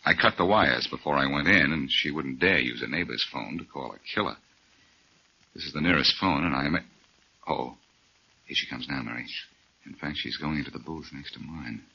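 A muffled old radio drama gives us a self-assured amateur sleuth. His voice is low and forceful, with very animated swings as he wisecracks in breezy 1940s style. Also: normal speed, vocal fry, breathy edge, exaggerated voice. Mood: confident, scheming.

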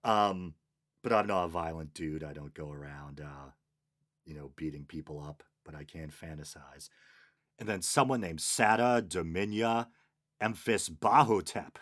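Boston-accented male podcast host, very low voice, dry sarcastic humor. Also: measured pace, fairly bright tone. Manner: wry, dryly sarcastic, chuckling asides. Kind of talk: casual solo podcast chat, reading listener comments.

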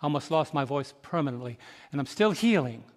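A man in his fifties with a high voice preaches to his congregation, tossing questions out for them to answer. He drops to a slow, quiet hush and then climbs into loud, ringing exhortation. His words hang in the long reverb of a large hall. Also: animated. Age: 50s. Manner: swings from soft, slow reflection to loud, rising exhortation. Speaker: pastor. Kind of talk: sermon.

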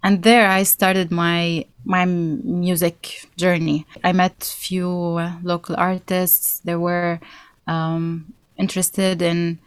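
A young woman from Syria, a band guest in an interview, who answers in a halting way with many fillers and restarts. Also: on the low side, flat delivery, clear, resonant tone, no echo.

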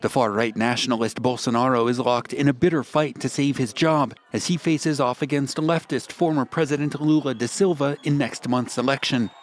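A male news correspondent narrates a scripted report. His voice sits at a middle pitch, delivered as a formal news piece. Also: steady tone, normal speed, few pauses.

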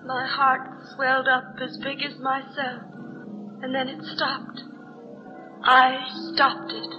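An actress playing a mournful, relentless ghost of a dead bride in an old radio horror drama. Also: female voice, sits high, monotone. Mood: mournful, eerie. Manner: slow, intense, declamatory. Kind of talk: 1940s radio horror acting. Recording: muffled old radio recording, music behind.

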